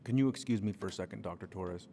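Curt, weary American police chief. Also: male voice, deep voice, quick pace, creaky, mellow, a little hiss or hum. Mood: polite, distracted. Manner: firm, curt. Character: middle-aged male police chief, stern, weary, curt. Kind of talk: video game dialogue acting.

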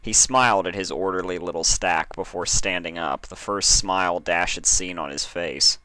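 A man narrating a story as an expressive fiction reader. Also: sits low, normal speed, no echo, poor audio.

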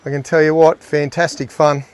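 A middle-aged man hosting a fishing show, giving excited, exclaiming commentary from a small boat. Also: on the high side, moderate pace, clean tone. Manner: enthusiastic, exclaiming, talks to the fish and his mate.

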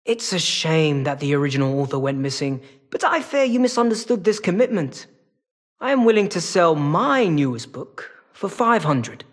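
A sly feline rogue from a video game. The voice sits fairly high for a male and has a husky rasp. He talks in a wry, casual, streetwise drawl, like a weary hustler who is always bargaining. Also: big pitch swings, moderate pace. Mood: sly, salesmanlike. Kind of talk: video game character acting.

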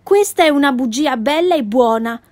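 A woman's voice: high voice, animated, moderate pace, a little mellow, dry recording, voice pushed up. A woman voicing a curious, sensible young boy, asking questions in a matter-of-fact way.

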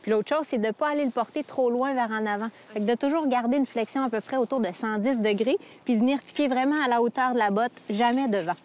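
A woman in her thirties with a Québécois French accent, explaining ski technique clearly and practically outdoors in a snowy park. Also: on the high side, quick pace, hardly any pauses, a little mellow. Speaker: cross-country ski coach. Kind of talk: instructional explanation.

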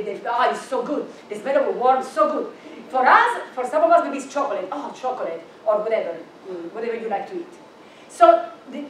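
Animated woman lecturing in an echoey hall. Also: high voice, a little hiss or hum. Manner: animated, gesturing, thinking aloud with restarts. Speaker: keynote speaker. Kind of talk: conference keynote lecture. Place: large university lecture room. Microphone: far camera in the audience. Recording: echoey room from far off.

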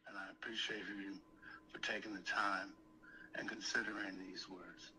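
A middle-aged man with a bright, forceful voice at an ordinary pitch, talking over the phone. His phrasing is measured and deliberate, and there is faint noise behind him. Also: fairly even intonation. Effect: phone call. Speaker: music manager. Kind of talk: phone conversation. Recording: noisy phone call, ambient background.

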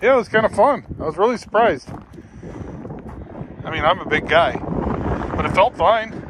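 A man's voice: high-pitched, lively intonation, normal speed. Offhand middle-aged man, noisy recording. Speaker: vlogger. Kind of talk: casual chat to camera. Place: parked or moving pickup cab, later outdoors on gravel drive. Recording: clear background noise, vehicle and outdoors.

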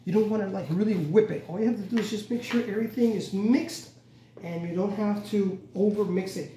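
Middle-aged man, home cooking host, relaxed step-by-step instruction, roomy kitchen sound, distant camera mic. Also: high-pitched, brisk, slight background noise. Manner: relaxed, instructive, self-correcting, repeats "go ahead and". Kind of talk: casual step-by-step cooking demonstration. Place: large bright home kitchen, indoors. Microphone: camera mic from across the kitchen.

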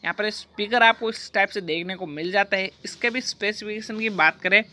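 A bright, forceful voice narrates a product explainer. The delivery is scripted and formulaic, moving through details as a repeated list in the same pattern each time. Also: medium pitch, fairly quick. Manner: repetitive, formulaic listing.

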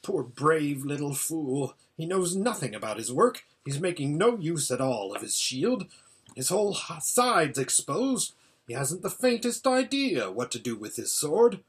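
A man's voice: mid-range voice, animated, measured pace, fairly bright tone, slightly rough. A man voicing an impatient old hermit seer in a storybook, giving an anxious, exclamatory running report. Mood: dismayed. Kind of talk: storybook character voice.

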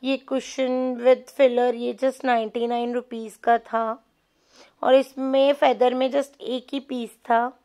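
A woman's voice: a little high, flat delivery, takes it slowly, warm tone. A woman giving a casual, chatty vlog voice-over, mixing in English words. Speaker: shopping vlogger.

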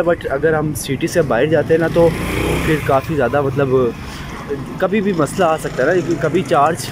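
Young man vlogging from a motorbike. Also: fairly high pitch. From Pakistan. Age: young adult. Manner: chatty, talks to viewers while riding. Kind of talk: casual motovlog chat. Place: outdoors on city streets. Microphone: action camera on the bike. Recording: street and traffic noise behind. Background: traffic noise.

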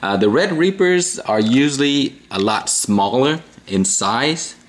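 A man's voice: mid-range voice, lively intonation, slow. A man in his thirties hosting a casual pepper-review vlog, talking easily with lots of ums, uhs and restarts, filmed at a table indoors. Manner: easygoing, rambling with many fillers and restarts. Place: small indoor room at a table.

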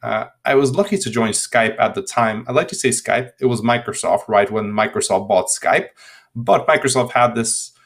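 A man's voice: mid-range voice, normal speed, a bit bright. A man in his thirties from Hungary with a Hungarian accent, answering interview questions over a video call, often repeating words as he restarts sentences. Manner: frequent word repetitions and restarts. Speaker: guest speaker. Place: home room. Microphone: laptop webcam video call.

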